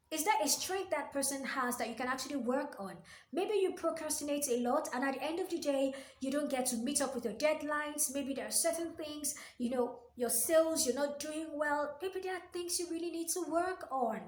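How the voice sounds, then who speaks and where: A woman with a high voice talks the listener through workplace advice, earnest and direct, repeating key phrases and asking pointed questions. Her voice rings a little in an echoey room. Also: a little fast. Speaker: workplace coach and video host. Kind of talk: motivational advice talk to camera.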